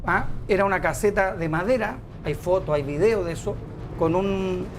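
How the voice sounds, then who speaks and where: A man's voice: sits high, moderate pace, noisy background. Insistent middle-aged Chilean man debating. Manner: explanatory, insistent, defends government. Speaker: senate candidate, panel guest. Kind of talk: TV panel debate.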